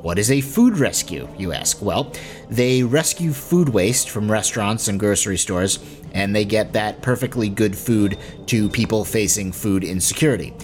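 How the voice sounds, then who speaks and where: A man's voice: on the low side, moderate pace, faint music behind. A man hosting a podcast, giving an informal, conversational announcement to listeners. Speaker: podcast host.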